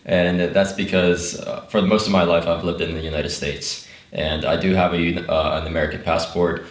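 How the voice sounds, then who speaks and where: A young man, a native Russian speaker, talks through his story as a podcast guest, loose and unhurried with plenty of "uh"s and restarts. His voice sits very low and stays flat, with little rise and fall. The room around him gives it a roomy, echoing sound. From Ukraine. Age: 20s. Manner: relaxed, rambling with fillers and restarts. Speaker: guest, travel YouTuber. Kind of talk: casual conversational interview.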